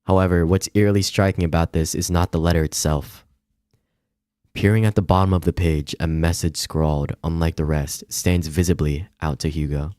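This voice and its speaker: A man reading a visual novel aloud as dramatic, measured narration. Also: deep voice, steady tone, darkish tone, no room sound, clean studio sound.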